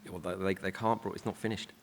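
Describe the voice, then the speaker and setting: A man on a panel answering informally and haltingly, repeating his words. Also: low-pitched, steady tone, gravelly. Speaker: panel member. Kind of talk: informal unscripted reply. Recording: noisy echoey hall with clicks.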